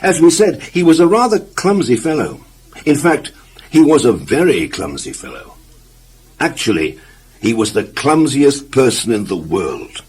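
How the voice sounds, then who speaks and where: A man reads a children's story with very lively, swinging intonation. His mid-pitched voice has a husky grain, and he leans on key words as he addresses the listener. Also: unhurried. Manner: expressive, emphatic, addresses the listener. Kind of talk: scripted children's story narration.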